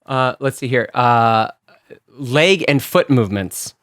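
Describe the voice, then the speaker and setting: A man's voice: mid-range voice, lively intonation, slow, fairly bright tone, no room sound, clean studio sound. A man co-hosting a casual podcast, reading quiz items off a list and laughing easily. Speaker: podcast co-host running a quiz.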